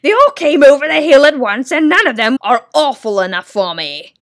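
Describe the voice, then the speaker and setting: Woman acting out a big lumbering noise-loving creature, loud, puffing, storybook. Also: high-pitched, lively intonation, moderate pace, dry recording, clean studio sound, pitched above the performer's own voice. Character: gruff noise-loving creature, male, heavy and huffing.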